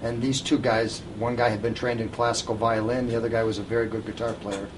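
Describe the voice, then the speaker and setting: A man's voice: medium pitch, level tone, quick pace, distant mic, noisy background. An older man telling informal anecdotes to a live audience, recorded at an event. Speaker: guest storyteller at a reunion event.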